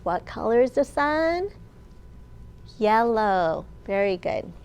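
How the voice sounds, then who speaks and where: A woman children's librarian reading a picture book aloud to young children, sing-song, with questions and pauses for them to answer. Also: fairly high pitch, animated, a little mellow, smooth. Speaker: children's librarian and storytime host.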